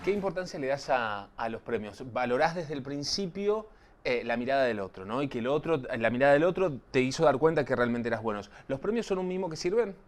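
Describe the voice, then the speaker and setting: A man with a medium-pitched voice conducts a conversational interview. He speaks informally in a Rioplatense Argentine accent, sounding attentive as he probes with questions. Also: expressive, a little fast, soft background music.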